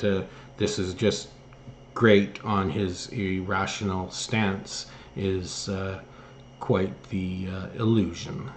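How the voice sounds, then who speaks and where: A man giving political opinion commentary as host, speaking in a halting, hesitant way with phrases that trail off. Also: on the low side, darkish tone, a little hiss or hum. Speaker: host of an online political news commentary show. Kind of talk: political opinion commentary, partly read from articles.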